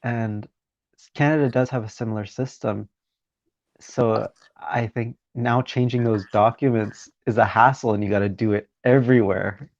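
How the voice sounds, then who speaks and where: A man's voice: mid-range voice, steady tone, normal speed, a little mellow, dry recording. A man from Romania interviewing a guest, talking in an enthusiastic, encouraging way. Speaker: interviewer, podcast host. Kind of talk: conversational interview. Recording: dull, dry lo-fi sound.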